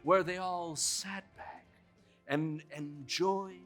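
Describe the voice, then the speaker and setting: A woman narrating children's stories in a theatrical, expressive way, with drawn-out phrasing, gasps and chuckles. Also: on the low side, soft background music. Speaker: children's storyteller. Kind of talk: animated storybook narration for young children.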